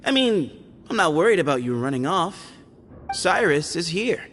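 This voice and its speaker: A man voicing a sly, playful young man in a video game, teasing and evasive, with a relaxed manner. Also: fairly high pitch, normal speed, soft background music. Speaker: game character.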